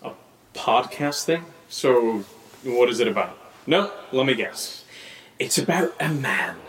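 A man's voice: medium pitch, expressive, slow, a bit bright, rough recording. A man playing a joking, skeptical friend with a sarcastic, teasing manner in a casual audio drama. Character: joking, skeptical buddy visiting his friend. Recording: faint background noise.

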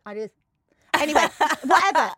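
A woman in her thirties with a slight lisp, co-hosting a podcast in casual, blunt, joking chat with loud bursts of laughter. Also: sits high, animated, fairly quick, noisy background. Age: 30s. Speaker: podcast co-host.

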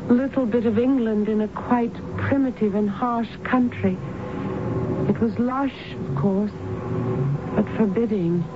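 An actress playing a troubled, formal married woman under hypnosis in a radio drama, speaking in a halting, grave manner. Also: fairly high pitch, lightly acted. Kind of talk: 1970s radio drama acting. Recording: noisy, muffled phone-like old radio broadcast.

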